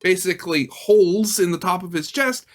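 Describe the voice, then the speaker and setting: A woman giving casual, unscripted reaction commentary in a halting, rambling way that trails off. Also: lowish voice.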